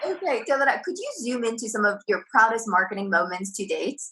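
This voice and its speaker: A woman hosting an interview podcast, upbeat and asking quick follow-up questions. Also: a little high, very animated, sharp tone. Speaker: podcast host.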